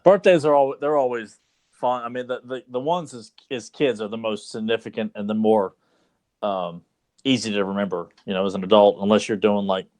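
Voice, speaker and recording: A man's voice: fairly low pitch, fairly even intonation, normal speed, a little mellow. Laid-back man teasing his co-host. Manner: laid-back, lots of filler and restarts, teasing. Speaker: podcast co-host. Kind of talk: casual chat.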